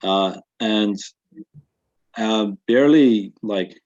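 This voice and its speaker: A man in his twenties from Russia, with a Russian accent softened by New Zealand, answering interview questions in a halting way with many fillers, on dull lo-fi webinar audio. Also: on the low side. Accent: Russian with a slight New Zealand accent. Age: 20s. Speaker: guest.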